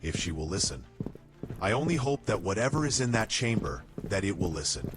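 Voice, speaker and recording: A man playing a cautious, wry, level-headed soldier in a fantasy audio drama, speaking in a measured manner. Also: on the low side, big pitch swings, over music.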